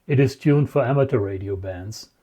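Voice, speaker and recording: A man narrating a scripted equipment demonstration in a measured, matter-of-fact, explanatory way. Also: mid-range voice, mellow. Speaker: presenter, radio scanning enthusiast.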